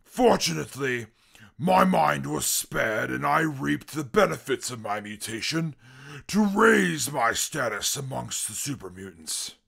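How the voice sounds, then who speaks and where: Gravelly, pompous super mutant. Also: male voice, mid-range voice, animated, a little slow, a bit bright, full character voice. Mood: proud. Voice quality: gravelly, husky. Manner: pompous, formal, wordy, prone to violent outbursts. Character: eloquent, pompous, brutal super mutant with inflated intellect. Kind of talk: post-apocalyptic radio drama acting.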